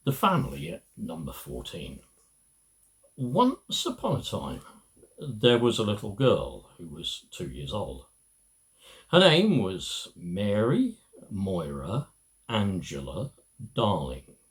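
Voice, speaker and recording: A middle-aged man reading a children's storybook aloud, with expressive, deliberate phrasing and pauses. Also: on the low side. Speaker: amateur reader.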